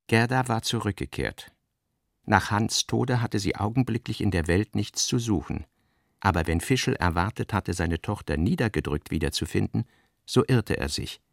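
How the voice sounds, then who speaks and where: A man with a gravelly voice reading scripted literary narration for a radio drama. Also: fairly low pitch, animated, normal speed, no echo, studio quality.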